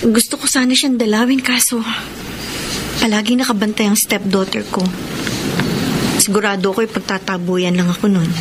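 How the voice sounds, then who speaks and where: A woman playing a guilt-ridden married woman in a radio drama, sounding worried, hesitant and soft-hearted. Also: mid-range voice, steady tone, moderate pace, long pauses, close to an everyday voice. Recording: noisy ambience bed.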